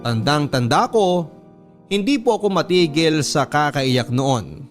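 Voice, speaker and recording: Higher-pitched Filipino male storyteller. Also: expressive, measured pace. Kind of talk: scripted dramatic narration of a letter. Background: background music.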